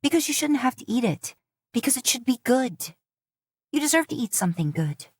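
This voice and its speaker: A woman's voice: high-pitched, big pitch swings, fairly quick, husky, no echo, studio quality, voice pushed up, exaggerated voice. A woman voicing a firm, earnest young wife. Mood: tearful.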